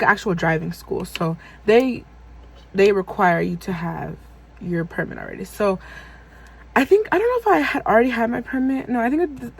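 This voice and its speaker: A woman's voice: medium pitch, vocal fry, breathy edge. A woman in her twenties telling a personal story on her vlog in a rambling, casual way, full of fillers and self-corrections. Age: 20s. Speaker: vlogger.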